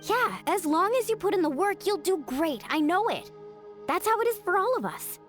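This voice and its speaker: A bright, encouraging young woman. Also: very high voice, big pitch swings, moderate pace, faint music behind. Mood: cheering.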